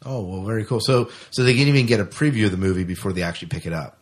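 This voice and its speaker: Lowish-voiced man hosting a podcast. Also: racing. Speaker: podcast host. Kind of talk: casual interview chat.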